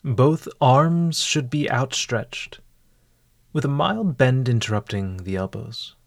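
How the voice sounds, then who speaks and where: A man narrating a scripted guided meditation in a formal, measured and eloquent manner. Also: mid-range voice, animated, vocal fry, dry recording, studio quality.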